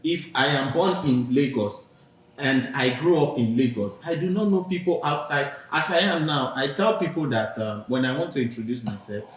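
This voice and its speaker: A Nigerian man on a podcast, earnestly explaining his view with personal examples. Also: normal speed. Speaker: podcast co-host. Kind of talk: casual discussion. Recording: very echoey room, faint rumble.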